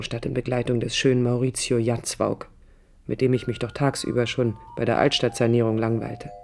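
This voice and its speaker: A woman's voice: very deep voice, gravelly, mellow, exaggerated voice. An actress playing a proud, brooding woman architect in a radio play, speaking in an introspective, literary and bitter way. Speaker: lead character and first-person narrator. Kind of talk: radio play acting, interior monologue and dialogue. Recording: studio radio drama with music behind.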